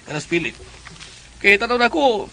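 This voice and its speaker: Bright, animated Filipino father. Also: sits high, moderate pace, exaggerated voice, poor audio. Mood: approving. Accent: Cebuano Filipino. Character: approving, forthright father of a grown daughter. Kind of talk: Cebuano radio drama acting.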